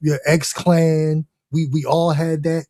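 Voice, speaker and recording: A man's voice: a little high, level tone, takes it slowly, dark tone, no echo, studio quality. A man talking casually and conversationally as a co-speaker in a discussion, reminiscing informally.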